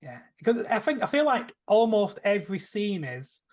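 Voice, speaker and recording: A man's voice: high voice, sing-song, normal speed, a little mellow. A man chatting casually and enthusiastically about films as a podcast panelist, rambling a little as he goes. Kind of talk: casual film discussion.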